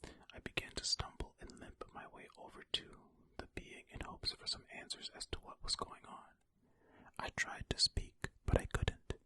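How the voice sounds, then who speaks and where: An ASMR host whispering breathily ear to ear into a close stereo microphone, reading a story aloud in an unhurried, musing way with casual asides. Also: pushed and bright.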